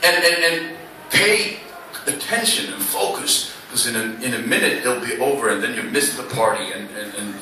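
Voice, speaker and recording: Halting, self-mocking Swedish man on stage. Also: mid-range voice, bright, forceful tone, slight background noise. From Sweden. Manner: dry, self-mocking, halting with many fillers. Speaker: slam poet. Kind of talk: stand-up style spoken word banter. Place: small club stage. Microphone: stand mic on stage, recorded from the audience. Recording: echoey club from far off.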